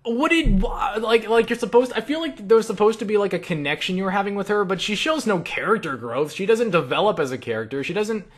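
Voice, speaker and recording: Young male gaming vlogger, slightly high register, off-the-cuff, profane, exasperated ranter. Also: animated, slightly rough. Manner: profane, exasperated ranting, halting and repetitive. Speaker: gaming video creator answering questions. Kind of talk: casual, rambling opinionated chat.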